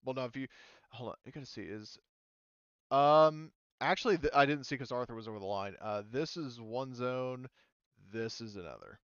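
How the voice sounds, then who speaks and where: A man running a tabletop roleplaying game as game master, talking casually and haltingly as he thinks aloud. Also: mid-range voice, smooth, no echo, lo-fi sound. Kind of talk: running a tabletop roleplaying game, improvised narration and rules talk.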